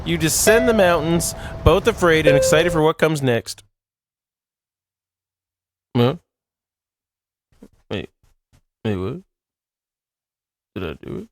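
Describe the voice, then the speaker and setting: A man's voice: on the high side, animated, takes it slowly, long pauses. A man doing casual let's play commentary and reading a visual novel aloud, with playful asides and dramatic reading. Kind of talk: casual gameplay commentary and read-aloud narration. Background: game music.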